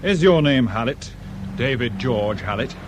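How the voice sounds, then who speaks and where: A man plays a British patrol policeman in a radio drama. He is deferential yet firm and official. His mid-pitched voice comes over clearly audible car and roadside noise. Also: expressive, a little slow, lightly acted. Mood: polite, firm. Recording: noisy outdoor roadside with car sounds.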